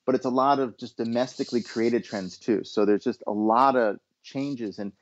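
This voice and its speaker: A middle-aged American man from Los Angeles answers interview questions in a measured, mid-pitched voice. He often restarts his phrases, and the sound is dull and lo-fi. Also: a little hiss or hum. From Los Angeles, USA. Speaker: guest, education consultant.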